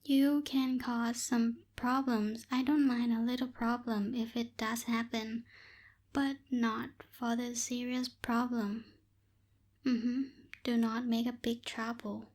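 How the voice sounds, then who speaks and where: A young woman voicing a bossy but secretly caring tsundere witch in a close-miked ASMR roleplay, softly scolding and a little hesitant. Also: fairly high pitch, level tone, slow. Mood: firm, instructive. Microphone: close binaural microphone.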